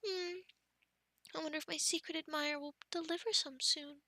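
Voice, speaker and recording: A young woman voicing an affectionate, teasing girlfriend in an ASMR roleplay, speaking softly, giggling and dropping into whispers, close to the mic. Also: high voice, steady tone, moderate pace, no room sound. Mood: playful. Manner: soft and giggly, drifting into whispers.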